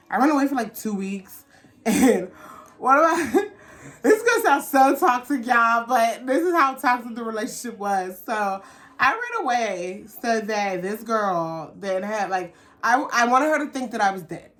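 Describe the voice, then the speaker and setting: A woman vlogger telling a personal story in a casual, animated, slangy chat, acting out what people said. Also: a little high, normal speed. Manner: animated, slangy, candid storytelling with acted-out quotes.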